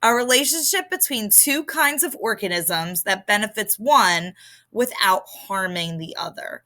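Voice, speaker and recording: A woman teacher with a Philadelphia accent explains vocabulary in an informal recorded lesson. Her voice is bright and forward, and she takes her time, addressing students in a conversational way. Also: medium pitch, expressive, unhurried. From Philadelphia area, United States.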